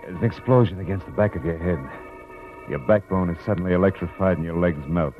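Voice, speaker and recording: A low, muffled American male voice from an old radio thriller, with music behind it. An actor plays a tough, world-weary private eye. He is wry, clipped and hardboiled, whether narrating or trading lines. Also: fast, lightly acted. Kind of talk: 1940s-50s radio noir acting, hardboiled narration.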